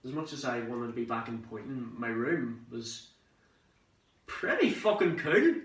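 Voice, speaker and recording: A man performing a theatrical monologue as a cheeky, excitable young man telling of his summer abroad, in a dramatic conversational way. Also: medium pitch, natural voice. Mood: excited, wistful. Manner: dramatic, conversational storytelling with swearing and pauses. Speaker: amateur actor performing a monologue. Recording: roomy echo, distant microphone.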